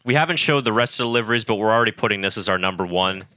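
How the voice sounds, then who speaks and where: A man co-hosting a podcast, chatting casually and telling exasperated, animated stories. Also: fairly low pitch, very fast, smooth, no room sound. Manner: animated, ranting, exasperated storytelling. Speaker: podcast co-host. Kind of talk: casual chat.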